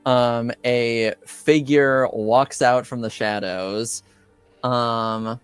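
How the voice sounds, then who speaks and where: Male game master: smooth clean voice, halting improvised narration, unhurried, faint music bed. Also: mid-range voice, fairly even intonation, dry recording. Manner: hesitant, searching for words.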